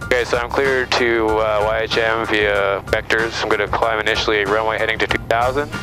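A man's voice: fairly low pitch, fairly even intonation, fairly quick, music in the background, rough recording. A man training as a pilot, chatting casually and making radio calls over an aviation headset in a small plane. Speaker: student pilot. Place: small plane cockpit in flight.

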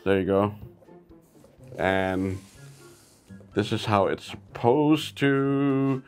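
A man hosting a casual toy review vlog, chatty and easygoing, with sudden bursts of excitement. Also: fairly low pitch, drawn-out words, clean tone, soft background music.

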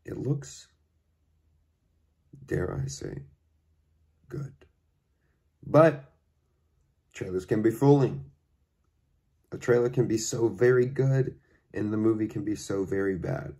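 A man in his twenties giving casual reaction commentary in a dry, deadpan way, musing aloud in a bedroom. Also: on the low side, takes it slowly, vocal fry, pauses often, husky. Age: 20s. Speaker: host of a trailer reaction video. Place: bedroom at home, indoors.

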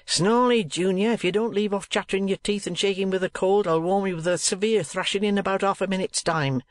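A man's voice: on the high side, lively intonation, unhurried, no echo. A man voicing a boastful, hypocritical middle-aged schoolmaster with pompous, oily sales patter in an audiobook. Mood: threatening.

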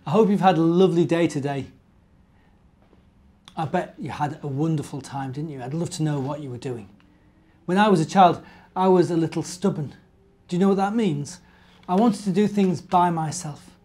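Mellow British man talking to children. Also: a little high, normal speed, long pauses. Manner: simple, chatty, asking questions of young listeners. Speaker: minister and children's storyteller. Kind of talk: addressing young children, storytime. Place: living room indoors.

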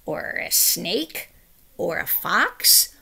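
A middle-aged woman reads a picture book aloud like a teacher. Her voice is bright, with a touch of creak, and she takes her time over each carefully stressed phrase. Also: lowish voice, drawn-out words. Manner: careful, expressive, emphatic phrasing for young listeners. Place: small room at home, indoors.

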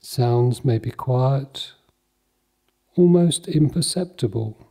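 Slow, mellow man guiding meditation. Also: medium pitch. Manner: calm, measured, soothing, with long pauses between short phrases. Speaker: meditation guide. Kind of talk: guided mindfulness meditation.

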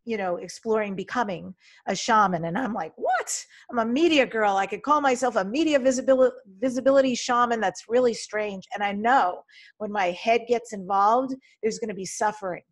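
A woman's voice: on the high side, normal speed. A middle-aged woman hosting a podcast interview from a room at home, speaking into a desk microphone on a video call in an upbeat, enthusiastic way. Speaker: podcast host. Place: home room with folding screen. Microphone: studio desk mic in front, video call.

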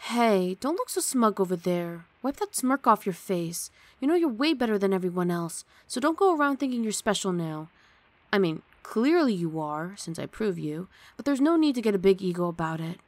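A woman's voice: mid-range voice, big pitch swings, moderate pace, dry recording, natural voice. A woman playing a grumpy but affectionate young female roommate in an intimate ASMR roleplay, sardonic and huffy, speaking close to the mic. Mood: huffy, teasing. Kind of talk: ASMR roleplay, intimate one-sided dialogue. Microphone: close studio mic, dry.

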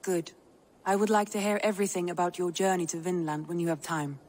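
A woman's voice: fairly low pitch, flat delivery, brisk, a little mellow, a little hiss or hum, close to an everyday voice. A woman playing a composed, caring Viking settlement leader in game dialogue. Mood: concerned relief.

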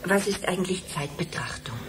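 Woman acting as a sad dreamy middle-aged wife in a radio play, hushed, bit low, clear noise behind her. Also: expressive, normal speed, natural voice. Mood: wistful. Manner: hesitant, wistful, often hushed. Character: wistful, dreamy grieving wife and mother. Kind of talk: German radio drama acting. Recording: clearly noisy background.

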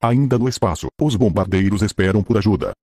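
A man's voice: fairly low pitch, quick pace, noisy background. A man with a Brazilian accent reading scripted narration for a cartoon adventure.

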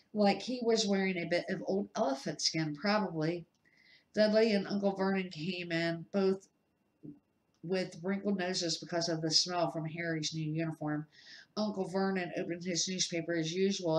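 A middle-aged woman reading a children's novel aloud as an amateur, careful and sometimes stumbling over the words. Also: fairly low pitch. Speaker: amateur storybook reader. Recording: echoey, roomy sound.

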